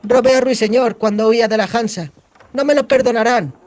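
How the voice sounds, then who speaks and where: A man's voice: very high voice, fairly even intonation, brisk, a little mellow, voice pushed up. A man voicing a brash, foul-mouthed, streetwise young woman who talks in cheeky slang. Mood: wary, rattled.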